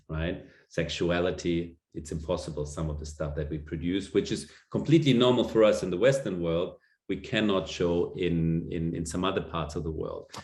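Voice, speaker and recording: A man's voice: deep voice, a little mellow. A man with a German accent giving a masterclass presentation, hesitant with many ums and restarts. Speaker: lecturer. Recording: echoey room.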